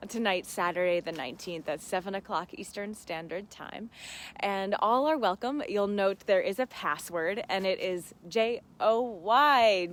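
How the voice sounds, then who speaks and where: A woman hosting a casual live Q and A, chatting earnestly and reflectively to listeners as friends, often with laughter in her talk. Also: medium pitch. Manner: laughs often while talking. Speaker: host of a live Q and A. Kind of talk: casual livestream chat with reflective asides.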